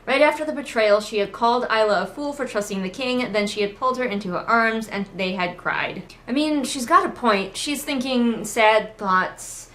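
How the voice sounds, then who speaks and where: A woman's voice: on the high side, normal speed, hardly any pauses, a bit bright. Woman doing a casual snarky book rant, kinda exasperated, bit of noise behind her. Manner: exasperated, mocking asides and acted-out quotes. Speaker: book critic hosting a readalong. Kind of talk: casual sarcastic commentary. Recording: noticeable background noise, train outside. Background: ambient noise, passing train at times.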